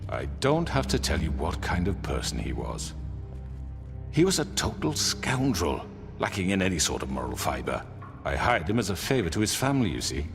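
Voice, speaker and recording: An actor playing a manipulative crime boss on trial in a video game, formal, measured and condescending, with theatrical pauses. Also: male voice, deep voice, big pitch swings, vocal fry, heavily acted. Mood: smooth, defensive. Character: crime boss on trial, smooth, haughty, self-justifying manipulator. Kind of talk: dramatic video game dialogue. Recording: long reverb hall, music behind.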